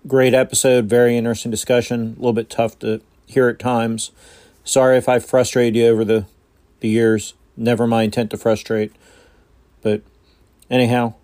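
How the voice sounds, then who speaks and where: A man's voice: medium pitch, flat delivery, moderate pace, darkish tone, no room sound. Man leaving a very dry-sounding message. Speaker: podcast caller. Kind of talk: casual voice message.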